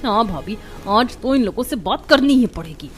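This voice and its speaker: Young bahu for cartoon drama played by a woman. Higher-pitched, put-upon, grievance in delivery, soft music bed. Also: normal speed, natural voice. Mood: aggrieved. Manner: complaining, expressive. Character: overworked, aggrieved young daughter-in-law. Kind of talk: Hindi cartoon family drama.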